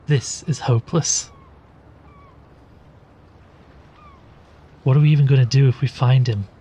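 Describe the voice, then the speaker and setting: An actor playing an anxious, weary podcaster in an audio drama, with a brooding, reflective manner. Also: male voice, mid-range voice, steady tone, fast talker, dark tone, a little hiss or hum, lightly acted. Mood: despairing, questioning. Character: anxious, weary male podcaster drawn into occult mystery. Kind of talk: audio drama narration and dialogue.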